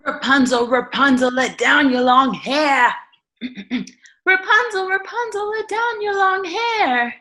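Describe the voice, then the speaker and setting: Woman playing a witch mother, high-pitched, slow, theatrical, controlling, falsely doting, radio play villain. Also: bright, forceful tone, exaggerated voice. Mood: calling out. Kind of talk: irreverent radio play acting.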